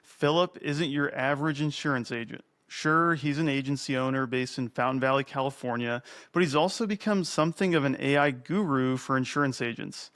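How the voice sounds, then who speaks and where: A man's voice: medium pitch, a little fast, hardly any pauses, fairly bright tone. A man in his thirties hosting a podcast from a home studio on a broadcast mic, reading a scripted introduction and then chatting in a friendly, upbeat way. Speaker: podcast host. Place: home studio with shelves, indoors. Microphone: broadcast mic on arm.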